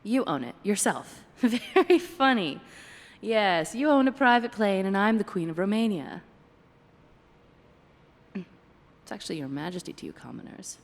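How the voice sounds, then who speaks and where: A woman's voice: mid-range voice, big pitch swings, a little slow, pauses often, big-room sound. A woman playing a flirty, playfully sarcastic date companion in a one-sided ASMR roleplay, with dry, hesitant asides. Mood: teasing, sarcastic.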